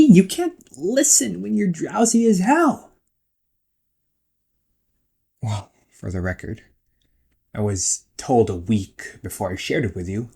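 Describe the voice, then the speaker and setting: Man acting a husky, devoted ghost brother. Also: mid-range voice, exaggerated voice. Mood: exasperated, then wry. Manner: emotional, swearing, swings from outbursts to soft confessions. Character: protective ghost brother of a psychic detective, exasperated, devoted. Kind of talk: amateur audio roleplay, one-sided emotional dialogue.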